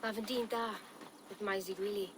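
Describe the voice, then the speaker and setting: A woman's voice: mid-range voice, fairly even intonation, a little fast, close to an everyday voice. A woman playing a spirited ancient Briton, a priestess's daughter, in a video game. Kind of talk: dramatic video game acting. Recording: outdoor insects and noise behind.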